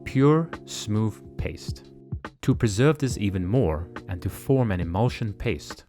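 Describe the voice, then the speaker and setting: A man giving measured, precise step-by-step narration for a home cooking tutorial. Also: on the low side, expressive, dark tone, faint music behind. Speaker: cooking channel host. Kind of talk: calm instructional cooking voice-over. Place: home kitchen.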